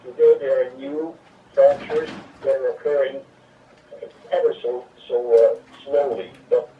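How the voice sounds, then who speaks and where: An older man from Hungary with a Hungarian accent, explaining things slowly as a guest in an interview, with many fillers. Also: medium pitch, fairly even intonation. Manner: slow, rambling explanations with frequent fillers. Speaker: guest, water expert. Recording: roomy, distant, dull lo-fi sound with faint noise.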